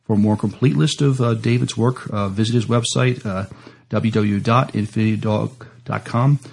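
A man hosting a podcast interview in a halting way, stumbling over his words as he introduces a guest and asks prepared questions. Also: fairly low pitch, fairly even intonation, creaky, darkish tone, a little hiss or hum.

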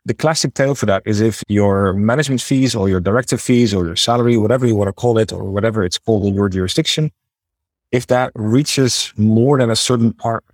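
A man's voice: fairly low pitch, no echo. A man with a Dutch accent, a guest accounting expert explaining things in a structured, matter-of-fact way. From Netherlands. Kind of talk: interview answers.

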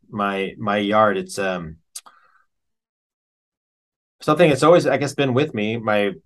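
A middle-aged American man chatting casually as an interview guest, enthusiastic, rambling and a little self-aware. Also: on the low side.

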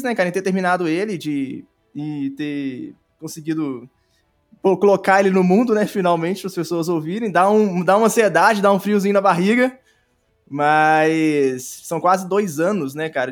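High-voiced Brazilian man chatting informally. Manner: informal, halting explanations. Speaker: podcast guest, audio drama creator. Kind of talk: casual interview chat. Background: faint music.

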